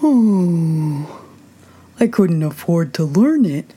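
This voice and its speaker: Slow, mournful old turtle. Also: female voice, on the low side, sing-song, warm tone, husky, heavily acted. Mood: wistful. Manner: slow, drawn-out, sorrowful sighing delivery. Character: mournful, sighing old Mock Turtle reminiscing about school.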